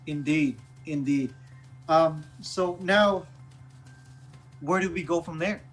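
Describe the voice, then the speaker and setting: A man with a somewhat high voice chats casually as a co-host on current affairs. He circles back over his phrases and keeps checking that he is followed. Light music runs underneath. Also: fairly bright tone, husky. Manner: halting, repeats phrases, checks with "okay" and "right". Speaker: talk show co-host. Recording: music bed behind the voice.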